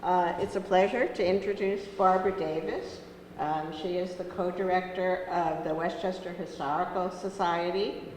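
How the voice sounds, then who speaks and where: A woman hosting a lecture, introducing the speaker with halting, filler-heavy remarks. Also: lowish voice, steady tone, a little mellow. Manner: hesitant, many ums and uhs. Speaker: program host introducing a speaker. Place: lecture room with audience. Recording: very echoey room, faint noise.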